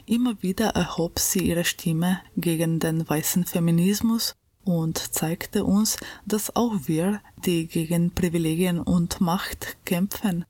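A woman radio host reading a scripted tribute in a calm, measured way. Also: on the low side, no echo.

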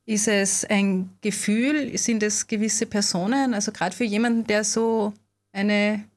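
Woman interviewer, gently rephrasing. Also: mid-range voice, fairly even intonation, measured pace. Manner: gentle, follows up by rephrasing his answers. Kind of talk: interview questions.

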